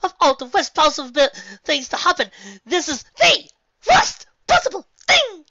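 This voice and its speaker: A prim, theatrical pony lady for a story reading. The voice is pitched far up and shrill, swooping with melodrama and fussy indignation. The recording is slightly dull and dry. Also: huge pitch swings, moderate pace, pushed and bright, dry recording, full character voice. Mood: hysterical distress. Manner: theatrical, shrill outbursts, ranting primly. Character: dramatic, fussy, prim female pony, easily appalled.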